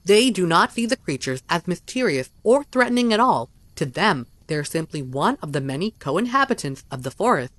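Lively, dry-voiced scripted narrator. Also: medium pitch, dry recording.

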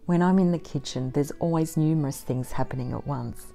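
A middle-aged woman speaks in a low, warm, unhurried voice, calm and matter-of-fact as she narrates her homestead chores. It is a scripted voice-over with soft instrumental music playing faintly underneath. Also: gravelly. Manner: gentle, measured and matter-of-fact. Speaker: homesteading vlogger.

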